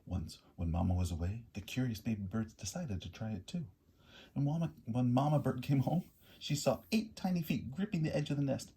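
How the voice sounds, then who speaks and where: A man reading a picture book aloud in an animated, expressive storyteller way. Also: medium pitch, a little fast, breathy edge.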